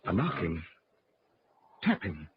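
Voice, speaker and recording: Nervous courteous ship passenger, highly varied pitch, old radio drama style, muffled vintage recording. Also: male voice, sing-song, slow pace, full character voice. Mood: tense, calling out. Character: anxious, courteous, curious gentleman passenger aboard a ship. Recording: dull, noisy old-time radio recording.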